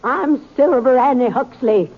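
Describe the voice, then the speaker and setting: A woman's voice: high-pitched, a little slow, husky, heavily acted. A proud, stubborn old prospector woman of the frontier, talks in folksy, defiant western speech. Her voice sits in a vintage radio recording, thin and narrow like a phone line. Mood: indignant, defiant. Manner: folksy, defiant, rustic grammar. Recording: tinny, band-limited vintage radio recording.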